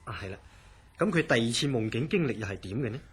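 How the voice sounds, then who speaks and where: An actor with a Hong Kong Cantonese accent playing a curious, easily astonished institute director in a radio drama, questioning with theatrical disbelief. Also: male voice, mid-range voice, expressive, fast, a crowd in the background, close to an everyday voice. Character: curious, astonished male institute director hearing eerie story.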